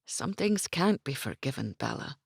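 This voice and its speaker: A woman voicing a brooding Highland warrior, speaking in a grave, hushed way. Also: sing-song, normal speed, vocal fry, no echo, clean studio sound, heavily acted.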